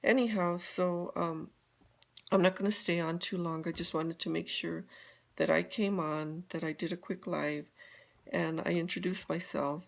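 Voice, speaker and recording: A woman's voice: on the low side, fairly even intonation, measured pace, vocal fry. An older woman from Colorado chatting casually on a live video, rambling with many fillers and restarts. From Colorado, USA. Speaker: beauty guide vlogger.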